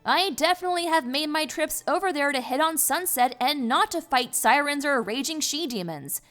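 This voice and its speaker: A woman plays a young, bookish pony princess from a cartoon fan drama. The voice is high and very animated. She comes across as earnest and awkward, with a wry, flustered edge, over music. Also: normal speed. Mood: sarcastic. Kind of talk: fan fiction audio drama acting.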